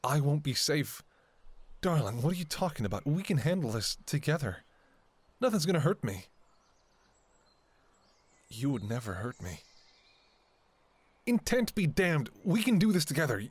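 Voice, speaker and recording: Man acting a devoted, anxious lover, huskily expressive. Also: on the high side, breathy edge, no echo. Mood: pleading. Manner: hesitant, halting, emotionally raw. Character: devoted, anxious male doctor pleading with lover. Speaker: audio roleplay performer. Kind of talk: ASMR audio roleplay, intimate dramatic monologue.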